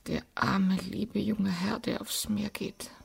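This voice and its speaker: An actress playing a devoted female servant, lamenting sorrowfully in a creaky whisper in a radio drama. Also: medium pitch, steady tone, takes it slowly. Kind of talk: German radio drama acting.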